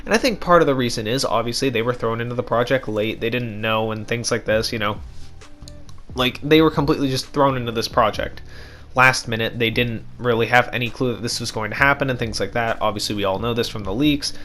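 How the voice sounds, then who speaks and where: Hoarse young man, scratchy throat, ranting gaming commentary, faint music underneath. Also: medium pitch, fairly bright tone. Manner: ranting, frustrated, repetitive. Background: background music.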